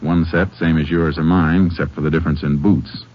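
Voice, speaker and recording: An actor with an American accent playing a calm, methodical, laconic Texas Ranger in a 1950s radio western. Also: male voice, very deep voice, a little fast. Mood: matter-of-fact. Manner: laconic, matter-of-fact. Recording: noisy, dull 1950s radio recording.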